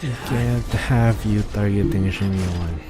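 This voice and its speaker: A man with a low voice streams a game, chatting casually at a slow, easy pace. Game music plays clearly behind him. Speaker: game livestreamer. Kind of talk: casual live gameplay commentary. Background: video game music.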